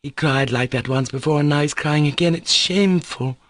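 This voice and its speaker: A man reading an audiobook voices a sharp, teasing young woman who talks reproachfully and insistently. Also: on the high side, normal speed, no room sound, voice pushed up.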